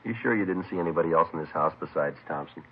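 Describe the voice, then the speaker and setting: A clipped, deadpan detective sergeant in an old radio crime drama. His voice sits very low and moves quickly, on a muffled vintage recording with music. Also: slight background noise. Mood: businesslike. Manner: terse, matter-of-fact, deadpan. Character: clipped, businesslike male police sergeant detective. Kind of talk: 1950s radio drama acting with terse narration.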